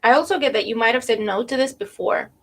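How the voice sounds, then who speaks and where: A woman's voice: on the high side, fast, fairly bright tone, breathy edge. A woman giving a live tarot reading to viewers, losing her voice with a cold, thinking aloud and often checking in with "right?". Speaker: tarot reader.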